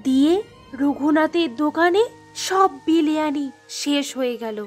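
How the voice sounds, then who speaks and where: High-voiced woman narrating a cartoon. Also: measured pace, soft background music.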